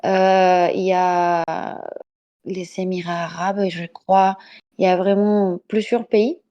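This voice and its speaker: A woman's voice: on the low side, level tone, slow, muffled recording. A woman from Mexico with a Mexican Spanish accent, a native Spanish speaker, chatting casually as a panelist on an online voice call and hesitating often with fillers and restarts. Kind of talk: casual chat.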